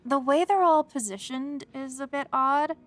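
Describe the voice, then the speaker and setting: An actress playing a sarcastic, curious young reporter in a comedy audio drama. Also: female voice, high-pitched, moderate pace, faint music behind, close to an everyday voice. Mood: intrigued. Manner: sarcastic, teasing. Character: wry, curious young female reporter chasing a story.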